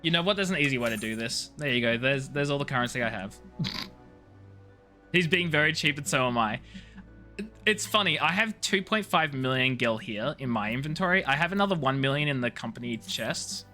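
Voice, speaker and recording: A man's voice: mid-range voice, lively intonation, normal speed, bright, forceful tone, soft background music. A man chatting casually to viewers as a video game streamer, playful and teasing, rambling from one thought to the next.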